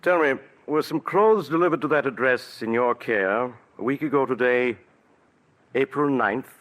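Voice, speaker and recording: A man's voice: medium pitch, fairly bright tone, natural voice. An actor playing a shrewd male doctor exposing a murderer in a 1940s radio drama. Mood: probing. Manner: measured, probing, accusing. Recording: old radio broadcast recording.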